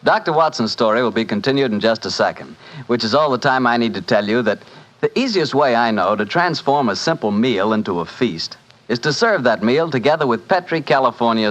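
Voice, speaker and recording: A man's voice: mid-range voice, fairly bright tone. An American man working as a 1940s radio announcer and host, reading a sponsor spot in a chatty, emphatic, salesmanlike way. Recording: dull 1940s radio recording.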